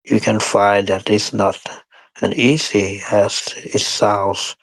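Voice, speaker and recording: Slow, low-pitched man guiding meditation. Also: flat delivery, vocal fry, no room sound, rough recording. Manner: unhurried, short phrases with pauses; non-standard English grammar. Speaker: meditation teacher. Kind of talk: guided meditation instruction.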